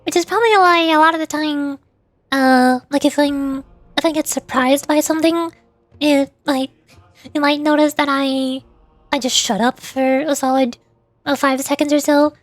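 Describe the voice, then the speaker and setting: A woman's voice: high voice, steady tone, normal speed, no echo. A woman livestreamer chatting casually with viewers, rambling and often repeating words as she forms her thoughts. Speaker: VTuber livestreamer.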